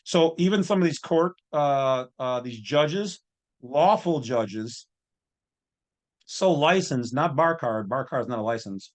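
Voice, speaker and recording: A man's voice: medium pitch, lively intonation, takes it slowly. A man with a mid-range voice hosts his own commentary channel. He talks informally and off the cuff, in a folksy, colloquial way, and states his opinions freely. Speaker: host of an online legal commentary channel.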